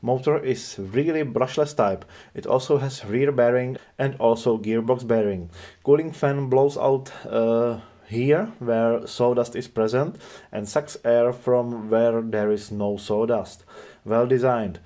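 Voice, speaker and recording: Mellow-voiced man narrating a product review. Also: mid-range voice, steady tone, measured pace.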